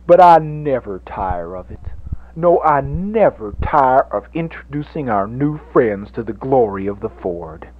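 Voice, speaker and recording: A man's voice: medium pitch, expressive, a little slow. A man playing a fervent, greedy radio evangelist, preaching grandly and pompously in a parody sermon. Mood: grandiose, self-satisfied. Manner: exhortatory, grandiose, pompous, builds from hushed coaxing to shouting. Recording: tinny phone-like 1980s radio recording.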